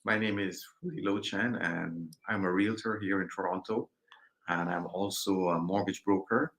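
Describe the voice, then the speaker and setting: Deep-voiced man from Guyana. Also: fairly even intonation, fairly bright tone. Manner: measured, hesitant with ums. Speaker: guest realtor. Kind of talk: interview answers.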